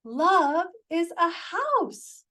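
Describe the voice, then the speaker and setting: A woman's voice: very high voice, very animated, very slow, sharp tone, voice pushed up, heavily acted. A woman voicing an earnest, musing male carpenter in a storybook, who is easily exasperated.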